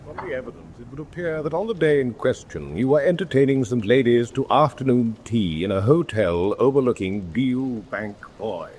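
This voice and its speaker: An actor playing a disdainful British naval inquiry officer in a radio drama, dry and pointed in delivery. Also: male voice, mid-range voice, expressive, moderate pace, few pauses, darkish tone, a little hiss or hum, natural voice. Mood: accusing. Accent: British English.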